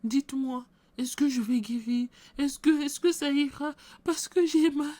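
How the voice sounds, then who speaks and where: A woman's voice: high-pitched, fairly even intonation, unhurried, mellow, no room sound, voice pushed up. A woman acting out a desperate sick woman who begs for prayer in a church skit. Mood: anguished. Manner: pleading, tearful, anguished.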